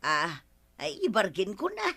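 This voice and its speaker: A woman's voice: mid-range voice, wildly swooping pitch, a little slow, gravelly, pushed and bright, breathy edge, full character voice. A woman playing a guilty village treasurer in an Ilocano radio comedy, pleading and making excuses in an Ilocano accent. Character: guilty woman treasurer who gambled funds.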